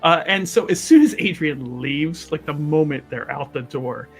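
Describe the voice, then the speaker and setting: Male tabletop player, fairly high voice, discursive casual narration over faint music. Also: normal speed, gravelly, a bit bright. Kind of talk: describing his character's actions, casual table talk.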